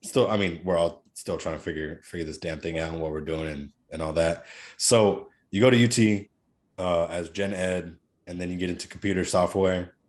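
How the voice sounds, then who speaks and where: A man's voice: sits low, fairly even intonation, normal speed. A man in his twenties hosting a podcast interview, easygoing, with short affirmations and casual slang. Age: 20s. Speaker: podcast host.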